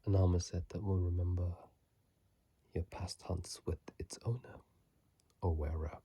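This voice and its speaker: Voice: low voice, fairly even intonation, measured pace, breathy edge. An ASMR host whispering casual, rambling game commentary into a phone microphone, often correcting themselves mid-sentence. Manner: soft unvoiced whisper, rambling, with self-corrections. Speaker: ASMR gaming channel host.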